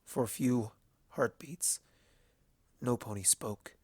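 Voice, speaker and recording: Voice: mid-range voice, takes it slowly, darkish tone, husky, no echo. A narrator reading fan fiction aloud as scripted, dramatic storytelling.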